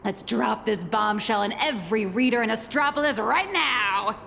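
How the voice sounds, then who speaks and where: A woman's voice: a little high, lively intonation, normal speed, gravelly, a bit bright, long reverb tail, slight background noise. A woman playing a brash, confident female editor-in-chief in a video game, bossy and theatrical. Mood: excited. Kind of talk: video game character acting.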